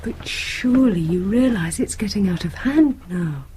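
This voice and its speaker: An actress playing a concerned, exasperated grown-up British daughter in a naturalistic radio drama, probing and direct. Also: female voice, mid-range voice, animated, normal speed, dark tone, a little hiss or hum, close to an everyday voice, poor audio. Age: young adult.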